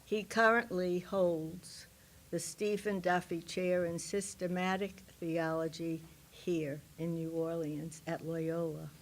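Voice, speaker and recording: A woman with a low voice reads a speaker introduction slowly. Her delivery is measured and formal, pausing carefully through the list of credentials. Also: level tone. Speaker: event introducer.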